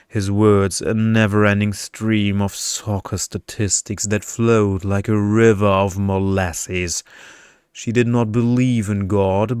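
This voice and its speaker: A man narrating a story in a deliberate, deadpan ASMR style meant for sleep, close to the microphone. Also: fairly low pitch, smooth, dry recording.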